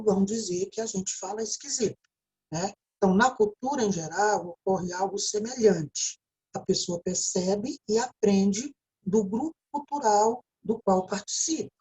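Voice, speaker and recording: A woman's voice: mid-range voice, dark tone, poor audio. A woman with a Brazilian Portuguese accent gives a recorded video lecture, explaining step by step and often checking in with a tag question. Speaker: teacher.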